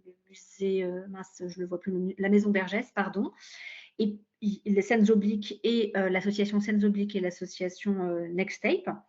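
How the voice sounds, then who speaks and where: A woman explaining policy in a webinar, haltingly searching for her words. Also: mid-range voice, steady tone, darkish tone. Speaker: local government cultural policy official, panel speaker. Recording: dull, lo-fi online call audio.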